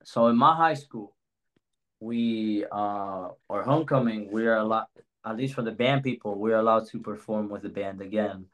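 A young man from Spain co-hosting a relaxed student talk show. His voice sits low, and he speaks earnestly and thoughtfully, pausing with fillers as he goes. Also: poor audio. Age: young adult. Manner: earnest, reflective, pauses with fillers. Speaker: co-host. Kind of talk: casual chat.

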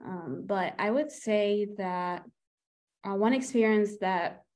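A young woman who grew up in Egypt, a medical student, telling her path in a measured, earnest way. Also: medium pitch, a little mellow. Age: young adult. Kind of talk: interview answers.

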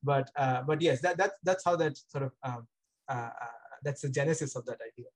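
A man's voice: medium pitch, a little fast. A young man with an Indian accent, a guest astronomer telling his story in a casual interview, rambling with many fillers and restarts. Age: young adult.

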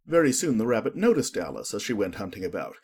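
Male audiobook narrator, slightly rough husky tone, animated intonation, scripted storybook reading. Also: on the low side, fast.

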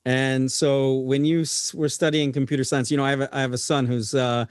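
A man's voice: mid-range voice, flat delivery, fairly quick, clean tone, no echo, clean studio sound. A middle-aged man hosting a podcast, speaking in a conversational interviewing style. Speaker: podcast host.

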